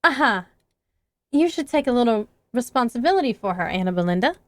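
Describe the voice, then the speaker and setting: A woman voicing a poised, friendly teenage club president who speaks calmly and a little teasingly. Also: on the high side, fairly quick, no room sound. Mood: friendly, knowing.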